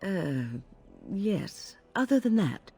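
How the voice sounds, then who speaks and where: A woman's voice: mid-range voice, big pitch swings, slow pace, a little mellow, husky, faint music behind, full character voice. An actress playing a polite, curious woman companion in a fantasy game, speaking earnestly and courteously. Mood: hesitant.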